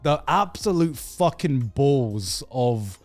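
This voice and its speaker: A man chatting casually about video games as a podcast host, telling it in an animated, profane way with halting repeats. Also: medium pitch, no room sound. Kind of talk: casual video game chat.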